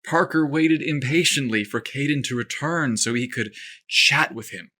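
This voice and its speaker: A male storyteller reads a scripted tale, lively and expressive. His voice is bright and clear, set at an ordinary pitch. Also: normal speed.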